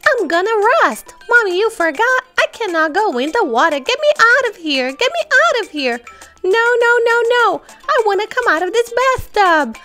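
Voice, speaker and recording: A woman voicing a bratty, excitable superhero baby boy in repetitive baby talk for children's toy role-play. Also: very high-pitched, moderate pace, faint music behind, voice pushed up, exaggerated voice. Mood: whiny tantrum. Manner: whiny, taunting baby talk with repeated phrases.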